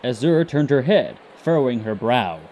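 A man's voice: medium pitch, animated, moderate pace, mellow, a little hiss or hum. A man narrating a scripted story between lines of character dialogue.

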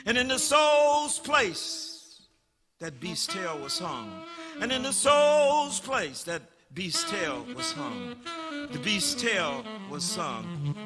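A man delivers dramatic political verse in a fairly high voice that rings through a long reverberant space. His delivery is emphatic and incantatory, stretching words and circling back on phrases. A saxophone plays clearly alongside him. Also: very animated, takes it slowly. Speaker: spoken-word poet. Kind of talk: dramatic political poetry performance over saxophone. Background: live saxophone music.

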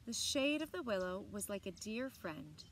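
A woman in her thirties reading a children's picture book aloud expressively, with deliberate phrasing and dramatic emphasis. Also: mid-range voice, very animated, a bit bright, poor audio. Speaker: library story time reader. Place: outdoors on a lawn by trees. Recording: outdoor background noise with birdsong. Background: outdoor ambience, birds.

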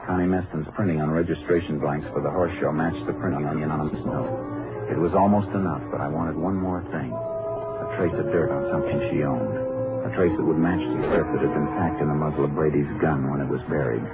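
An actor playing a shrewd, matter-of-fact Texas Ranger detective in a clipped 1950s radio drama style. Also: male voice, extremely low pitch, brisk. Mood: grim, matter-of-fact. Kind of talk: 1950s radio drama acting, narration and dialogue. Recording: muffled 1950s radio recording, music.

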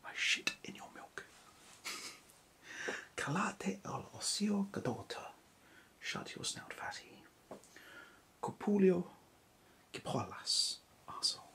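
A man vlogger softly whispering foreign words and their translations, with chuckling asides in a mock-foreign pronunciation. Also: fairly high pitch.

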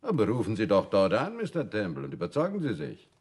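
Höflicher Doktor mit belegter, tiefer Stimme. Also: male voice, on the low side, wildly swooping pitch, quick pace, darkish tone, slightly rough, full character voice. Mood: puzzled, obliging. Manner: polite, hesitant, obliging. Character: courteous, slightly flustered male doctor. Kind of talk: German radio crime drama acting.

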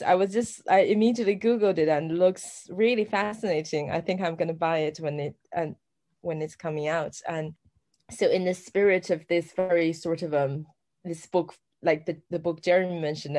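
A woman's voice: deep voice, a little mellow. A female novelist guest talking about her reading, hesitant and searching for words. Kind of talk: casual podcast interview.

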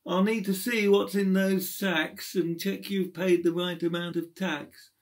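Middle-aged man, slightly high voice, theatrical rhyming story reading, indoors. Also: flat delivery, unhurried. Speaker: poem reader. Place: small room at home.

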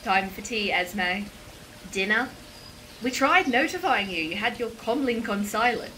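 A woman playing a sociable, gently teasing astronaut in a science fiction audio drama, with a casual way of talking. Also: fairly high pitch, expressive, a little slow, slight background noise, poor audio.

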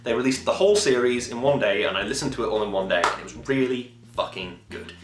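A man's voice: mid-range voice, expressive, fast talker, reverberant, noisy background. A man in his twenties chatting casually to camera in a rambling, enthusiastic way, swearing now and then. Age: 20s. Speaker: podcast host. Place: small home room, indoors.

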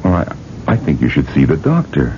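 A cunning, cynical industrialist in an old radio drama. The voice is deep and mellow with big swings of pitch, defensive in manner, on a dull recording. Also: male voice, huge pitch swings, brisk, a little hiss or hum, exaggerated voice. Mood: uneasy, defensive. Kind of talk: 1980s radio drama acting.

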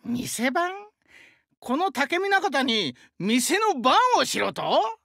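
A male actor voicing a burly, friendly young sumo-wrestler god in an anime game, with rough, hearty speech and a rural Shinshu Japanese dialect. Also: very high-pitched, big pitch swings, takes it slowly, sharp tone, dry recording, studio quality, heavily acted. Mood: plainspoken. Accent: rural central Japanese dialect (Shinshu). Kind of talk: anime game character voice lines.

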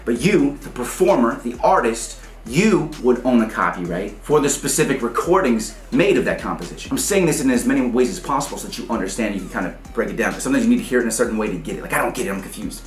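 A man in his thirties talks quickly and emphatically, like a channel host explaining things casually. His phrasing is slangy and he restates points to make them clear. The room adds noticeable echo. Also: mid-range voice, big pitch swings. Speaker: host of a music business channel for rappers. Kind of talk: casual explainer talk to camera. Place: small home studio room, indoors. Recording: roomy indoor echo.

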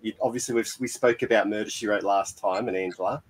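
A man's voice: fairly low pitch, steady tone, fast talker, rough recording. A man co-hosting a video-call interview, asking questions playfully and laughing between them. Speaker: co-host. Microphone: video call webcam.

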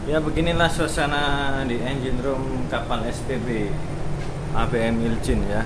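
A young man casually showing a ship's engine room while filming on his phone, explaining as he walks and repeating his words. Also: mid-range voice. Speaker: vlogger and seafarer. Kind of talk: casual walkthrough tour. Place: ship tunnel and engine control room. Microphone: handheld phone camera. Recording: loud engine noise, phone mic. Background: loud machinery hum.

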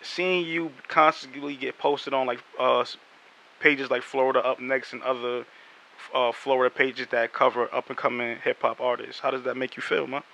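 A laid-back man hosting a hip hop interview from a small room at home, speaking through a headset. His tone is very bright and sharp, with a mid-range pitch for a man. He talks conversationally and drops fillers as he shapes each question. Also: level tone, moderate pace, creaky, poor audio. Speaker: interview host.

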